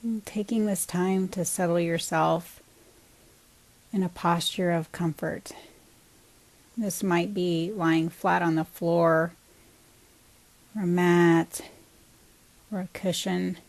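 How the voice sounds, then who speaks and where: A woman guiding a body scan meditation as a teacher, in a calm, gentle, unhurried manner with pauses between short phrases. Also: fairly low pitch.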